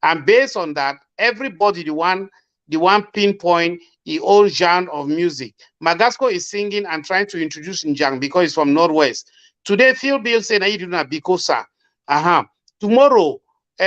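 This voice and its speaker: A man with a Cameroonian Pidgin English accent, arguing forcefully as a guest in a panel discussion. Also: a little high, dull audio.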